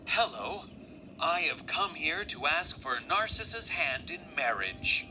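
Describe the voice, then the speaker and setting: A man's voice: a little high, big pitch swings, unhurried, background noise. A man voicing a suave, gallant angel of good fortunes in a storybook tale, courtly and reassuring.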